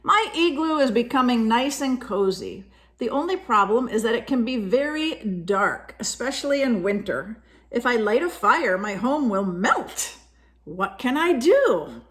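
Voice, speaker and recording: A woman voicing a sweet, lonely male polar bear from a storybook, with expressive, earnest and childlike phrasing. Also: a little high, a little slow. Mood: puzzled, wishful.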